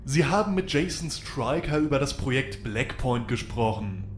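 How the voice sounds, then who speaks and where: A condescending male FBI agent , acted by a man, pushy and interrogating. The voice sits at a middle pitch in a roomy echo, with faint music underneath, as in a radio play. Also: lively intonation, moderate pace, natural voice. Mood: dismissive, smug. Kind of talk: audio drama acting. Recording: roomy, echoey voice recording.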